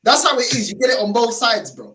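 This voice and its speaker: A man hosting a live stream, chatting in an animated way and laughing often. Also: extremely high pitch, fast, echoey room. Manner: animated, laughs often, keeps saying bro. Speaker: host of a football watchalong stream. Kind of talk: casual chat on a live stream.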